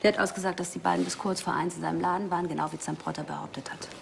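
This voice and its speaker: A woman officer reporting quickly, her low voice full of gravelly fry. Background noise is clearly heard. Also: fairly even intonation. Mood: matter-of-fact. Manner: quick report-style delivery. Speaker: police officer.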